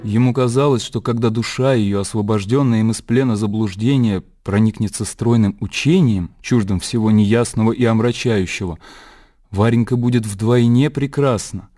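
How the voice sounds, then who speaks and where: A man's voice: lowish voice, normal speed, warm tone. A man reading scripted literary narration for a radio drama in a composed storytelling manner.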